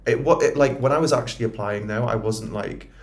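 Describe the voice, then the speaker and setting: A man's voice: medium pitch, a little fast. A man in his early twenties with an English accent, talking informally as a podcast co-host and often dropping in "like". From Newcastle and London, England. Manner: chatty, informal, frequent "like". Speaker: podcast co-host, dental student. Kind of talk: casual chat.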